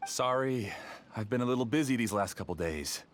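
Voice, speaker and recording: A man voicing a polite, proud, obsessive scholar in a video game, talking in a courteous, deliberate and theatrical way. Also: mid-range voice, expressive, a little hiss or hum, lightly acted. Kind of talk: scripted video game voice acting.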